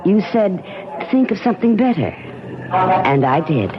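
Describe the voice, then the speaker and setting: A man's voice: high-pitched, big pitch swings, normal speed. An actor playing an overwrought, taunting man in a radio drama. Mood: bitter, agitated. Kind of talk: 1970s radio drama acting. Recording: muffled radio recording, crowd behind.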